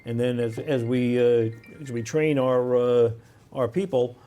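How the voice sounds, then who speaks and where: A man's voice: medium pitch, little pitch movement, measured pace, mellow. A man speaking as a firefighter guest in an interview, explaining in a conversational way.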